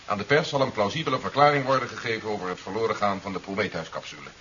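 An actor playing a brisk, authoritative general in a 1970s Dutch radio play, speaking in a formal, businesslike way. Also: male voice, fairly low pitch, pushed and bright. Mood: firm, businesslike. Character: brisk, authoritative male general planning a secret defence operation. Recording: dull, hissy 1970s radio recording.

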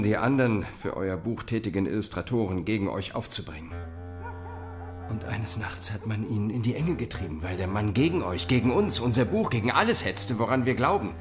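An actor in a radio drama playing an agitated painter with a dark secret, phrasing things weightily and deliberately. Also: male voice, fairly low pitch, close to an everyday voice. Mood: agitated, accusing.

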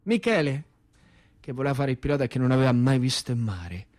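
A man's voice: medium pitch, sing-song, brisk, a little mellow. A man with a central Italian accent performing a theatrical narrative monologue, declamatory and insistent in his repetitions. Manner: impassioned, declamatory, repeats phrases insistently. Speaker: actor and storyteller.

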